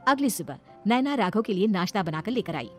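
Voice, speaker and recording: A woman's voice: medium pitch, very animated, a little fast, soft background music. A woman reading scripted narration as a storyteller.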